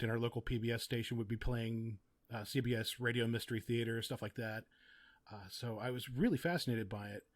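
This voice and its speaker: A middle-aged man with a mid-pitched voice talks as an interview guest. He explains things thoughtfully and articulately, in a relaxed conversational style. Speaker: podcast guest, audio drama writer and producer. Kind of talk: casual, reflective interview talk.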